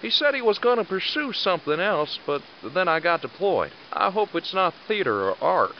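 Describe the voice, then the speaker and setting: A man acts a middle-aged squad leader in an audio drama, blunt and commanding but fatherly. His fairly high male voice moves around with lively intonation. The sound is slightly muffled. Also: moderate pace, a little hiss or hum. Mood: reflective, gruff.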